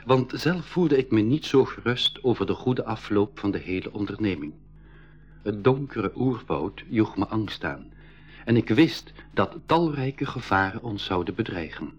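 A man playing a young adventurer who narrates a scripted radio drama in the first person, with a husky voice. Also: fairly low pitch. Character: boy adventurer narrating, privately anxious but keen. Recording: dull 1980s radio recording. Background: music.

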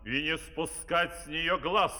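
An actor playing an imperious villainous dictator, giving orders in a pompous theatrical manner. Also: male voice, a little high, takes it slowly, bright, forceful tone, heavily acted. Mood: commanding, pompous. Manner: pompous, commanding. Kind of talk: theatrical children's audio play acting. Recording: 1970s vinyl record, long hall reverb.

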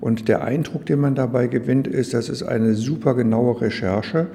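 A man explains things informally, like a guide in an exhibition. His voice is mid-pitched and mellow, carried by the long reverb of a large indoor room. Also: normal speed, gravelly. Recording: long hall reverb, muted tone.

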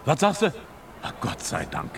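A man playing an excitable ex-officer comrade in a radio drama. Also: a little high, moderate pace, creaky, slight background noise, heavily acted. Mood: relieved.

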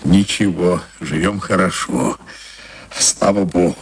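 An actor playing a placid, pious provincial merchant in a radio drama, unhurried and self-satisfied. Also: male voice, sits low, slight background noise. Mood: placid contentment.

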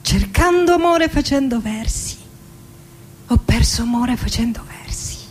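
A woman acting a melancholy middle-aged poet in a theatrical monologue, speaking in a hushed, dramatic way that often slips toward whispering. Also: fairly high pitch, animated, unhurried, a little hiss or hum. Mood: dreamy, confessional. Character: melancholy 46-year-old woman poet bidding farewell to life. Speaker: actress and director. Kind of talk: theatrical poetic monologue with music. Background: music.

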